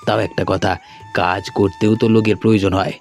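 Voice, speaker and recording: A man's voice: on the low side, level tone, brisk, gravelly. A man playing a friendly young businessman in a cartoon, talking in a casual, conversational way. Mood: persuasive. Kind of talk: cartoon dialogue acting. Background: background music.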